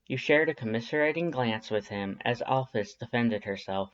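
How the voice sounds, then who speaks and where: Guy reading a fanfic audiobook, medium pitch, storytelling style, audio a little dull. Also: moderate pace. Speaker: fan fiction audiobook narrator. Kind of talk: scripted second-person story narration.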